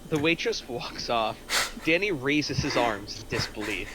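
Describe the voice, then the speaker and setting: A man's voice: medium pitch, expressive, a little slow, background noise. A man narrating stage directions from a script at an amateur online table reading.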